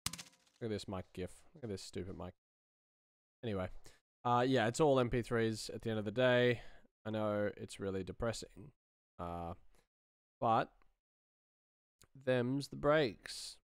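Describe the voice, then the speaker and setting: Slow, gravelly man, laid-back. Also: fairly low pitch, no room sound. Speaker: sound designer streaming his work. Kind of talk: casual livestream commentary. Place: small room indoors. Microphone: close studio microphone.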